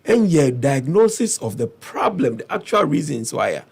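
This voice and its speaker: A Ghanaian man with a hoarse voice, earnestly explaining his plans as a parliamentary aspirant in an interview. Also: fairly high pitch, moderate pace. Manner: earnest, persuasive, steady explaining. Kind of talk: interview answers mixing Twi and English.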